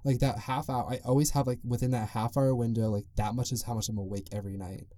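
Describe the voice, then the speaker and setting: A man's voice: mid-range voice, fairly even intonation, quick pace, warm tone, dry recording, clean studio sound. A young man hosting his own podcast, rambling loosely and chatting on his own. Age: young adult. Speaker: podcast host. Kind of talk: casual solo podcast monologue.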